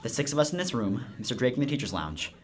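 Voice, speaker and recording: Guy doing nerdy earnest teen boy, radio play, wordy and quick, music behind him. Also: medium pitch, very animated, natural voice. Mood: urgent, analytical. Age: teenager. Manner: wordy, geeky, precise phrasing. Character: earnest, nerdy fifteen-year-old homeschooled boy, loyal and analytical. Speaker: actor in a radio drama. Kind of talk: radio drama acting.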